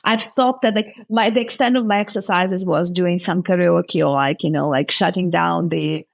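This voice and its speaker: Woman with a gravelly voice from the former Soviet Union. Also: fairly low pitch, no echo. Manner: thoughtful, halting, many fillers and restarts. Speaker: venture capitalist guest. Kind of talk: casual interview talk.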